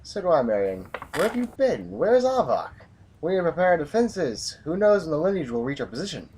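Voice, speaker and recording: A man's voice: fairly high pitch, big pitch swings, fairly quick, darkish tone, a little hiss or hum. A man acting a hardened, brooding military commander with a grave, formal delivery in a science fiction audio drama. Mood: urgent, commanding.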